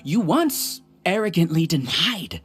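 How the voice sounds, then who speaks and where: An actor playing a smug, persuasive villainous researcher in a video game, with a condescending, grandiose way of talking. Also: male voice, fairly high pitch, very animated, measured pace, a bit bright, faint music behind, full character voice. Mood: grandiose, bitter. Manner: smooth-tongued, condescending, grandiose.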